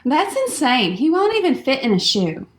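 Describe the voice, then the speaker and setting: High, echoey, uneasy young friend played by a woman. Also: big pitch swings, normal speed, heavy background noise. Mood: awkward, disapproving. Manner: hesitant, awkward, earnest. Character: uneasy, sensible young friend of a cruel prankster. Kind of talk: comedy radio theatre acting.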